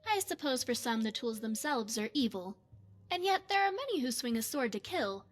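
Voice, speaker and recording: A woman voicing a poised, secretive retired spy and mage in a fantasy game, speaking in a measured, formal, coy way. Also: on the high side, animated. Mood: devout, measured. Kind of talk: scripted fantasy game character dialogue.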